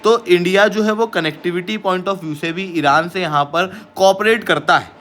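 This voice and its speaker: A man's voice: high voice, measured pace, fairly bright tone. An Indian man presenting as an online teacher, explaining current affairs emphatically and mixing in English phrases.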